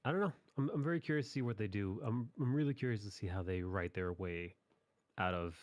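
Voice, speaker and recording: A man's voice: on the low side, fairly quick, no room sound. A man hosting a casual chat about anime, talking informally in rambling recaps with some swearing. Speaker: podcast host.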